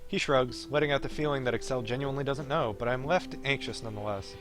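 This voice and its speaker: A man's voice: medium pitch, quick pace. A man streaming a game, reading its text aloud and chatting casually in between. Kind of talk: reading a visual novel aloud on a live stream with casual chat. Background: game music.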